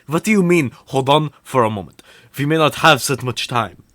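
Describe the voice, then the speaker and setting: A man's voice: mid-range voice, animated, normal speed, a bit bright, close to an everyday voice. A man voicing a stern, worried academy professor in an amateur fantasy audio drama, speaking in a formal, urgent manner. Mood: alarmed.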